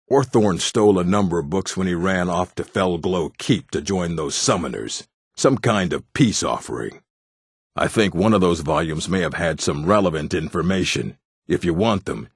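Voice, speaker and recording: A man voicing a gruff, proud orc in a fantasy video game, speaking bluntly and sternly in a rough, gravelly voice. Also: deep voice, no room sound, clean studio sound, exaggerated voice. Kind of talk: video game character voice lines.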